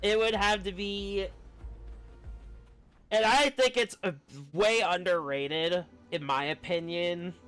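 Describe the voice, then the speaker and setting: A man chatting casually on a game livestream, rambling and halting as he talks. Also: sits high, steady tone, a bit bright, smooth. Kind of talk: casual chat while streaming a game. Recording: game music behind the voice. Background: video game music.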